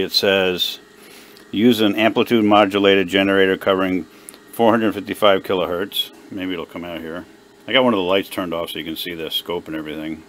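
A man giving an unscripted, hands-on repair demonstration, halting and hesitant as he thinks aloud. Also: low-pitched, a little hiss or hum. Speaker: hobbyist radio repairer presenting his own video. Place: indoor workbench.

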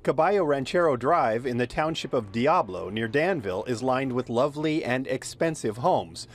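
An older man reporting a local news story in a measured narration. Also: mid-range voice. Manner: measured, lively reporting delivery. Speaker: field reporter.

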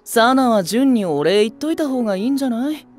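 An actor playing a sharp-tongued young male nursery teacher with a snarky, teasing way of talking. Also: very high-pitched, lively intonation, fast talker, faint music behind. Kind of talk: anime voice drama acting.

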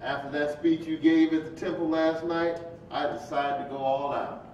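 A man's voice: level tone, moderate pace, a little mellow, lightly acted. A man in a staged reading playing a jovial, impatient preacher who chides his friend in folksy banter. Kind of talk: staged play reading, conversational dialogue. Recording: dull, echoey, distant hall with rumble.

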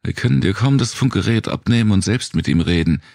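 A man's voice: on the low side, expressive, fairly quick, gravelly, darkish tone, no echo, clean studio sound, voice pushed up. A man voicing a tough, wary woman survivor with a blunt, explanatory manner in an audiobook. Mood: irritated, firm.

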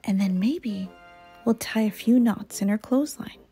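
A woman's voice: medium pitch, normal speed, warm tone, husky, soft background music. A woman voicing a mischievous boy bear, a scheming, taunting gang leader in a children's storybook. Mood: sly whispering. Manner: sly, taunting, conspiratorial.

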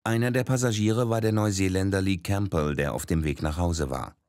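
A man narrating a documentary in a measured, grave scripted voice-over. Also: low-pitched, darkish tone, no echo, clean studio sound. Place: voice-over studio. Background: dramatic documentary music.

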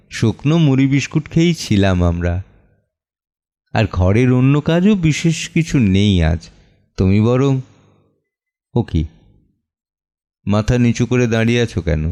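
A man playing a weary, wounded middle-aged father in a dramatic audio play monologue, with deliberate, theatrical delivery and heavy pauses. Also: lowish voice, warm tone. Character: weary, wounded father of an assaulted daughter, brooding.

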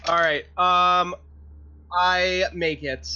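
A man's voice: sits high, steady tone, very slow, sharp tone, a little hiss or hum. A man playing a tabletop game, talking casually and tentatively as he thinks aloud, on slightly lo-fi audio.

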